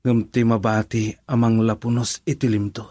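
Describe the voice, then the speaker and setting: A man's voice: on the low side, flat delivery, normal speed, warm tone, no room sound, clean studio sound, close to an everyday voice. A man playing a lovestruck, poetic young suitor in an Ilocano radio drama, earnest and romantic with an Ilocano accent.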